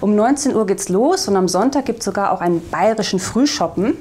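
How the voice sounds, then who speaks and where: A woman's voice: mid-range voice, animated, a little fast, a little mellow, breathy edge. A young woman, presenting in a light, chatty way. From Bremen, Germany. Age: young adult. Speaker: video host. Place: indoor green-screen studio.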